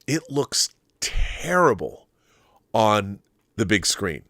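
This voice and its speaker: A middle-aged American man hosts a casual livestream in a low voice. He talks in a rambling, conversational way, doubling back and restarting his thoughts. The sound is very dry, with no room echo. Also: very animated, takes it slowly, fairly bright tone. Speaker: host of a live YouTube show. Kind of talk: casual livestream monologue answering viewer comments.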